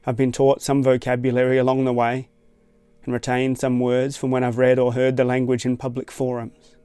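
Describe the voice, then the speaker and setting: A man from Tasmania with an Australian accent, reading a reflective scripted essay in a measured, thoughtful way. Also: medium pitch, clean tone, no room sound. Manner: measured, thoughtful, even-toned. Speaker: essay narrator.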